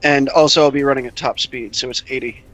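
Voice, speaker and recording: A man's voice: medium pitch, steady tone, fast talker, fairly bright tone, low-quality recording. A man playing a tabletop game, chatting casually and thinking aloud as he explains the rules.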